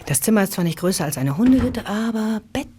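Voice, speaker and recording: An actress in a German radio play voicing a brash, cheeky young Victorian prostitute with slangy, impatient talk. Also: female voice, medium pitch, expressive, quick pace, warm tone, slight background noise, lightly acted. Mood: chatty, coaxing.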